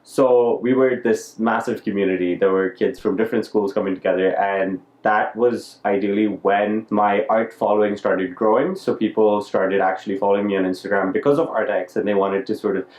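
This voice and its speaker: Low-voiced young man in an echoey room. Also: fairly even intonation, moderate pace, few pauses, darkish tone. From Dubai. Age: young adult. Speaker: YouTube art vlogger. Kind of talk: casual personal storytelling to camera. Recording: roomy echo, music behind.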